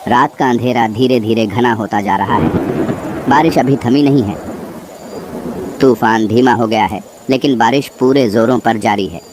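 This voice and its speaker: A man's voice: medium pitch, moderate pace, background noise. A man reading a scripted horror story as narrator.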